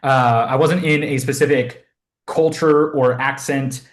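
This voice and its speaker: A man's voice: medium pitch, fairly even intonation, a little slow. A young man from Southern California, a fitness coach chatting casually as a podcast guest, rambling with lots of fillers. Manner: rambling, self-deprecating, many fillers. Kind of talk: casual interview chat.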